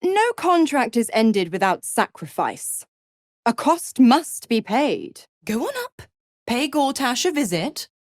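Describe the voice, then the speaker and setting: A woman's voice: on the high side, sing-song, takes it slowly. A woman playing a seductive, scheming half-devil in a video game, smug and condescending, with a silky, theatrical delivery and mock sweetness. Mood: playful, sly. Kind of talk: scripted video game character acting.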